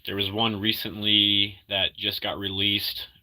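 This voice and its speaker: Man in his thirties, feeling sick, loose rambling stream chat with a very bright, sharp tone. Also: lowish voice, completely flat delivery, rough recording. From Argentina. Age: 30s. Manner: rambling, halting, full of fillers and restarts; upbeat when greeting viewers. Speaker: livestreamer reading chat. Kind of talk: casual live-stream chat.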